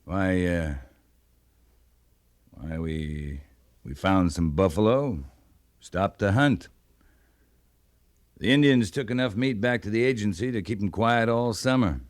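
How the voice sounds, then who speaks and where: A frontier cavalry captain with a fairly low voice. He is steady and sparing with words, carrying quiet authority in classic radio western style. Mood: dry, understated. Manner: measured, understated authority. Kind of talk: old-time radio western acting.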